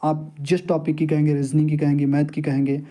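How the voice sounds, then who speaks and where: A man's voice: fairly high pitch, little pitch movement, fairly quick, dark tone. A young man talks casually to his viewers as a fellow exam candidate, explaining and advising in a repetitive, peer-to-peer way. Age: young adult. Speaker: exam-preparation YouTuber and candidate. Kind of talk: casual informal vlog talk to viewers.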